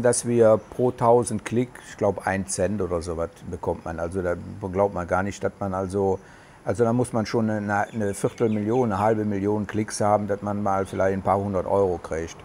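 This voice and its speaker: A middle-aged man talks with a regional German dialect in a loose, rambling way, stopping often to search for words. He is recorded outdoors on a terrace through a lapel mic, with faint outdoor ambience behind him. His voice sits at an ordinary middle pitch. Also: darkish tone, slight background noise. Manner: rambling, many hesitations, chatty. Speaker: vlogger. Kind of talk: casual talk to camera. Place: covered terrace outdoors in the tropics.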